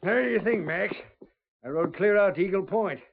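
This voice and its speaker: An actor playing an excitable outlaw rider with folksy American cowboy speech in an old radio western. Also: male voice, sits high, moderate pace, heavy background noise. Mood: puzzled, irritated. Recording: tinny, band-limited vintage radio recording.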